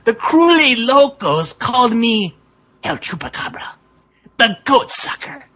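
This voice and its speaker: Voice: mid-range voice, sing-song, measured pace, pushed and bright, exaggerated voice. A performer voicing an emotional Mexican wrestler with a secret monstrous past in a hammy fan-fiction reading. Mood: tragic storytelling.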